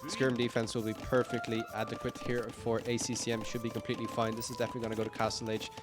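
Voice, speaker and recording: A male esports caster whose voice has gone croaky from hours of streaming. He talks through the game in an upbeat, rambling play-by-play, correcting himself as he goes, with game music playing behind him. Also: mid-range voice, completely flat delivery, quick pace. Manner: rambling and upbeat, frequent "uh" fillers, self-corrections. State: croaky, tired voice late in a long stream. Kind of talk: live play-by-play game commentary.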